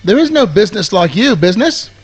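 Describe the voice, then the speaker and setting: A muddle-headed cartoon owl, high and husky. He pronounces with lively swoops and great self-importance in a comic radio play. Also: quick pace, faint music behind, full character voice. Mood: pedantic.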